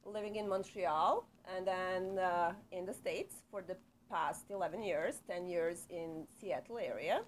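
A middle-aged Serbian woman, speaking English as a second language with her accent showing clearly. She talks at an unhurried pace, pausing often with "um" and "uh" and laughing lightly now and then. The sound is that of a small, quiet studio picked up by a nearby camera. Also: lowish voice, slight background noise. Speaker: psychotherapist guest. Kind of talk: casual interview.

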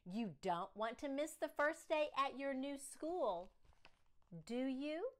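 A patient, coaxing storybook father, as a woman reads him aloud. He talks in a lively, up-and-down way with a slow, careful pace, gentle but ready to turn firm. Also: high-pitched, voice pushed up. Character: gently firm father, middle-aged man.

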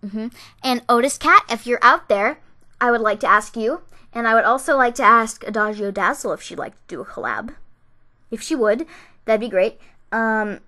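A woman's voice: fairly high pitch, moderate pace, bright, forceful tone. A woman, an amateur voice actor, chatting casually to her viewers. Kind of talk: casual chat.

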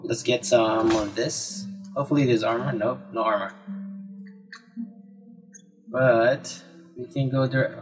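A man plays a video game and talks himself through it at an unhurried pace. His commentary is casual and reactive, full of exclamations, with game music faintly behind him. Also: medium pitch, a bit bright, poor audio. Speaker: let's play gamer.

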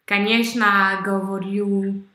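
A young woman with a French accent, speaking slowly and haltingly on a video call, searching for words with many fillers. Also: mid-range voice, little pitch movement, pushed and bright, echoey room. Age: young adult. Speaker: language-learning video host. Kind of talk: halting conversation in a learned language. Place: small room indoors. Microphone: red headphones, webcam video call.

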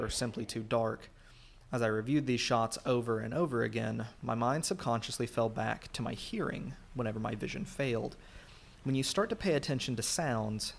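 A man narrates a scripted video essay with noticeable vocal fry. His delivery is measured and explanatory, laying out each point clearly at an ordinary male pitch.

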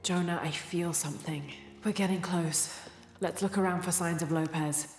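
A woman playing a young, earnest and determined adventurer in a video game, speaking in a measured, thoughtful way. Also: fairly low pitch, fairly even intonation, darkish tone, faint music behind, close to an everyday voice. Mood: focused.

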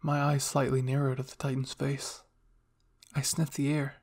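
A male narrator reads a first-person roleplay story. His voice is raspy with heavy fry, and his intonation stays fairly flat. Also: medium pitch, normal speed. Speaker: fan fiction narrator.

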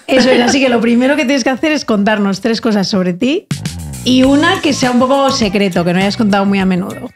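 A young woman hosting a casual podcast, reading sponsor lines and introducing a guest in a lively way, close on a desk mic in a studio. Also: fairly high pitch, fairly quick, faint music behind. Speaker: podcast host. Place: podcast studio with plants and a backdrop.

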